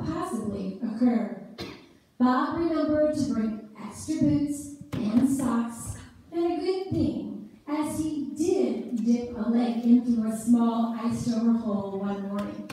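A woman reads scripted narration at a slow pace from a stage. She is far from the microphone in a very echoey church. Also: fairly high pitch, dark tone. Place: church sanctuary stage, indoors. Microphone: distant audience camera.

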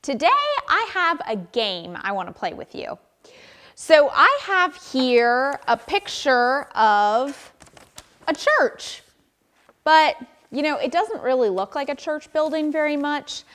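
A young woman pastor giving a children's sermon in a church sanctuary, animated and speaking to children, picked up by a camera across the room. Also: high voice, takes it slowly. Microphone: far camera.

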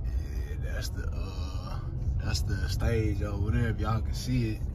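A man's voice: on the low side, takes it slowly. A man, vlogging casually while driving, laid-back and drawling, full of fillers. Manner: laid-back, drawling, many fillers and "you know what I'm saying". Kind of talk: casual road-trip vlog chat. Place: inside a moving pickup truck. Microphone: phone camera mounted on the dashboard. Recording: loud road and engine noise.

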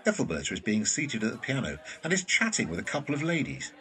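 A man's voice: mid-range voice, huge pitch swings, fast talker, bright, forceful tone. A man reading scripted stage directions as the narrator of a drama.

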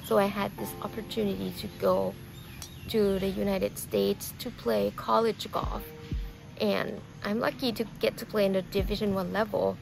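Thai woman in her twenties with a Thai accent, soft reflective vlog confession, very slow and heavily gravelly, music under her, recorded outdoors. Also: mid-range voice, rough recording. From Thailand. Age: 20s. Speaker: vlogger. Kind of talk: personal storytelling to camera. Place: outdoors on a lawn. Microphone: camera on a tripod, seated facing it.

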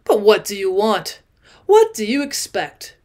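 A woman voicing a stout, drunk middle-aged man who talks loudly and emphatically and keeps repeating himself. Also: mid-range voice, very animated, measured pace. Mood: excited drunk. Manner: loud, emphatic, rambling repetition.

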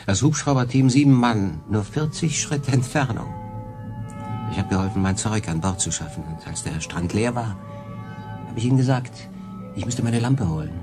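An actor playing a melancholy human castaway in a sci-fi radio drama, halting and emotional in his delivery. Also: on the low side, animated, over music, close to an everyday voice. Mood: grieving, brooding. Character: melancholy, guilt-ridden human castaway man on island.

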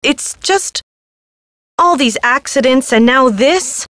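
A woman's voice: high voice, lively intonation, slow pace, a bit bright, no echo, studio quality, exaggerated voice. An actress playing a dramatic spiritualist woman in a video game, theatrical and emotive. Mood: defensive, upset. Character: dramatic spiritualist woman who holds seances.

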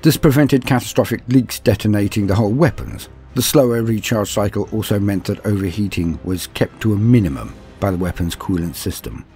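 A man reading scripted fictional lore as a narrator, with a measured, formal and dramatic delivery. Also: fairly low pitch, mellow. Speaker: narrator and host of a science fiction lore guide. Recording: clean voice-over over faint music. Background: faint background music.

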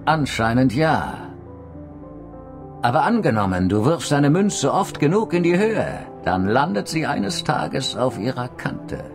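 Ein Schauspieler spricht einen listigen, rätselhaften alten Vampirfürsten in einem Videospiel, bedächtig und theatralisch. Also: male voice, mid-range voice, big pitch swings, over music. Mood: knowing. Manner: deliberate, theatrical. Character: cunning, enigmatic old male vampire lord. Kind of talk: dramatic video game dialogue.

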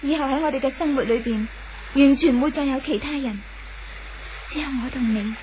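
Actress as a high-voiced gentle young sweetheart. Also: female voice, a little fast, a little hiss or hum, close to an everyday voice, low-quality recording. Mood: reassuring. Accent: Hong Kong Cantonese. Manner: soft-hearted, reassuring. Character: gentle young woman in love, hopeful, compassionate. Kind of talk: 1970s Hong Kong radio drama acting.

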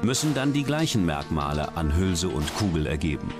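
Low-voiced man narrating true crime over music. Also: very animated, moderate pace. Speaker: documentary narrator. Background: background music.

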